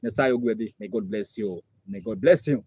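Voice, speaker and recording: A man's voice: lowish voice, brisk, breathy edge, no echo. A man with a Kenyan accent hosting a live music stream, effusively thanking supporters and repeating himself. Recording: loud traditional string and rattle music. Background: plucked traditional instrument and rattle.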